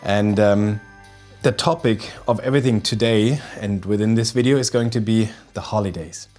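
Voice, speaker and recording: A man's voice: on the low side, normal speed, darkish tone. German-accented male photographer, 30s, casual to-camera tutorial host, eager, frequent restarts, non-native English, lapel mic in a studio kitchen, faint music underneath. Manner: eager, restarts often, searches for English words. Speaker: professional photographer presenting a tutorial. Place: indoor studio kitchen set with festive decorations.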